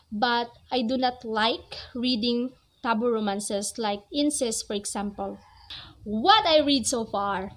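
A young woman in her twenties, not a native English speaker, talking earnestly to the camera about reading in a small room. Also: on the high side. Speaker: book vlogger. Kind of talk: casual talk to camera. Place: small cozy bedroom with string lights. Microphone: camera at close range.

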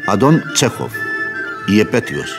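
A man's voice: on the low side, very animated. A man announcing the title and credits of a radio play in a scripted, formal read. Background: loud violin music.

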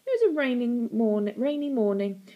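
A woman's voice: on the high side, expressive, normal speed, dull audio, pitched above the performer's own voice, close to an everyday voice. A woman voicing a cruel, mocking storybook aunt, sickly-sweet and theatrical, slipping into baby talk. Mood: dramatic, relishing.

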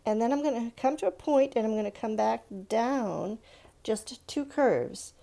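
Woman with creaky, mellow teaching voice. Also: on the high side, expressive, unhurried. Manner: patient, encouraging, talking along while drawing. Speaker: art teacher for children. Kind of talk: casual step-by-step drawing tutorial for children. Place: indoors at a countertop.

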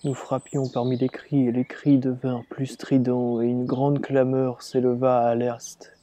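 A man reciting a dramatic monologue as a dying rebel slave, in grave, deliberate, measured phrases. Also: medium pitch, little pitch movement, warm tone. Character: dying rebel slave reliving his revolt, grave and defiant. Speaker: reader performing a dramatic monologue. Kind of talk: slow literary dramatic recitation.

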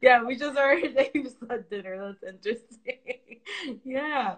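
A woman's voice: high voice, animated, a little slow. A young woman hosting a live video interview, chatty and friendly, speaking into a phone in an indoor room. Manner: friendly, chatty, with frequent laughs. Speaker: host. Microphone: phone held close, front camera.

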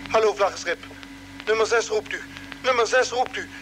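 A man's voice: sits high, moderate pace, over music, close to an everyday voice, low-quality recording. An actor playing a desperate, anguished spaceship crewman recording a message in a vintage radio drama. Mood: desperate, pleading.

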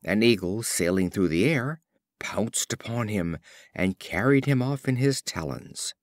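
Lively, unhurried man reading fables. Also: lowish voice, no echo, studio quality. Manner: expressive storyteller delivery. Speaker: audiobook reader. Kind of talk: scripted fable narration.

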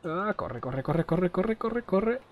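A man from Spain chatting while he plays, rambling and crude, keeps clearing his throat, speaking into a headset mic. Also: high voice, big pitch swings, takes it slowly, phone-quality sound, a little hiss or hum. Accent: Spain Spanish. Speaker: gaming streamer. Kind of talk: casual gameplay chat. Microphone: headset mic close to the face.

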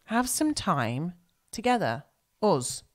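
A woman's voice: lowish voice, very animated, slow. A woman with an English accent co-hosting a podcast, chatting in a rambling way, searching for words while she has a cold. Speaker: podcast co-host. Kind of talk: casual chat.

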